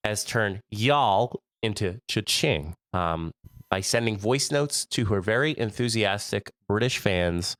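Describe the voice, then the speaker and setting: Congested American man with a smooth, low voice. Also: lively intonation, slow pace, a bit bright, no room sound. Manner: chatty, laughs often, tells stories. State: stuffy, allergy-congested voice. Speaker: podcast co-host. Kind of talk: casual podcast chat.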